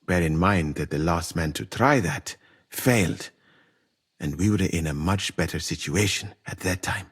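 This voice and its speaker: A man playing a grim, wry, battle-worn medieval soldier in a game, speaking deliberately. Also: deep voice, slightly rough. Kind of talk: dramatic game cutscene acting.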